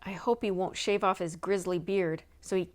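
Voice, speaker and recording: A woman's voice: fairly low pitch, steady tone, normal speed, lightly acted. A woman voicing a bold young female detective in a storybook, questioning people insistently. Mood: thoughtful. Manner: urgent, probing, persistent questioning. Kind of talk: storybook read-aloud character voice.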